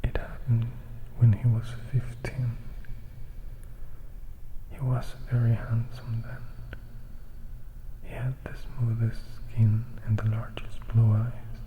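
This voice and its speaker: A melancholy, composed vampire whispers his memories slowly and with little change in tone, as a man reads him for ASMR. The telling is reflective and hushed, like a gothic storybook at bedtime. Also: mid-range voice, completely flat delivery, narrow, tinny audio, natural voice. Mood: somber, reflective. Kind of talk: whispered ASMR audiobook reading.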